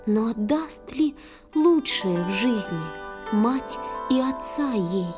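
A woman performing in a children's audio play, reciting verse lyrically and expressively with drawn-out phrases. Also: on the high side, lively intonation, music in the background.

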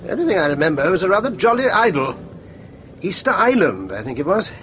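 A brisk army major talks over clear vehicle noise in a radio drama. His voice sits slightly high and swings with lively intonation. He is blunt, clipped and offhand. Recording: noisy vehicle ambience behind dialogue.

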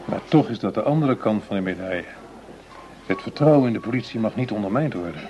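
An actor playing a cautious, calculating justice minister in a radio play, speaking in deliberate, formal phrases. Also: male voice, fairly low pitch, animated, dark tone, lo-fi sound, slight background noise. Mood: grave, measured.

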